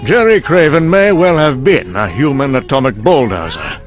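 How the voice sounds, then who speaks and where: A man's voice: medium pitch, lively intonation, moderate pace, soft background music, close to an everyday voice. A man playing a wry, cynical scientist in a dramatic motion comic monologue, musing and condescending. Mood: detached, sardonic. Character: wry, cynical male scientist recounting a doomed secret programme.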